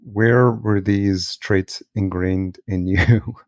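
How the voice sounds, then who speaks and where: A man from El Salvador hosting a podcast, asking curious, probing questions in a relaxed conversation. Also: lowish voice, extremely slow, dry recording, studio quality. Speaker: podcast host. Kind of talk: casual interview conversation.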